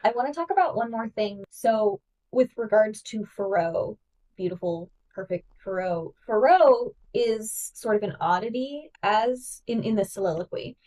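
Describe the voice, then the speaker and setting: A woman's voice: medium pitch, expressive, a little slow, warm tone, distant mic, low-quality recording. A woman guest-hosting a podcast, talking through ideas in an eager, analytical way with lots of fillers. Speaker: guest host. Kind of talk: casual podcast discussion.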